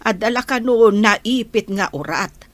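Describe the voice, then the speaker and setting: A woman playing a fussing, chiding old grandmother with drawn-out phrasing in an Ilocano radio drama. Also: mid-range voice, animated, natural voice. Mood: explaining, concerned.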